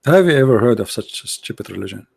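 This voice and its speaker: A man's voice: lowish voice, lively intonation, vocal fry, husky, no room sound. A man hosting a confrontational live debate, firing off mocking questions in non-native English. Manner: mocking, combative, rapid-fire questions. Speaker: debate show host.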